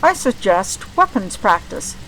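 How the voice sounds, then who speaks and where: A woman's voice: medium pitch, big pitch swings, measured pace, a bit bright, a little hiss or hum, poor audio. A woman playing a firm, commanding mage mother. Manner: firm, unrelenting, decisive.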